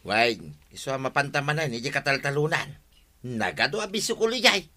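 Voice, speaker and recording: A man's voice: medium pitch, expressive, brisk, bright, forceful tone. A man acting a scheming, cheerful village vendor with exclamatory, wheedling delivery and an Ilocano accent in a comedy radio drama. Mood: scheming, casual. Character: scheming, cheerful village man hawking dubious snails and greens.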